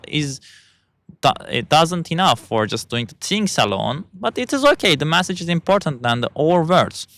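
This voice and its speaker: A young man with a Turkish accent talks in English, stopping often to hunt for the right word. His voice is bright and crisp, and it sits close and dry on the mic with no room sound. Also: medium pitch, no echo. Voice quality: halting, searching for English words. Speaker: vlogger. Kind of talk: casual monologue.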